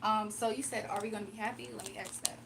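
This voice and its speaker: A woman's voice: mid-range voice, fairly even intonation, quick pace, creaky, background noise. A woman hosting a live stream, chatting casually with viewers and doing card readings, her voice a little strained from nearly losing it. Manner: chatty, reads out viewer comments, laughs often. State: slightly strained, recovering voice. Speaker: livestream card reader.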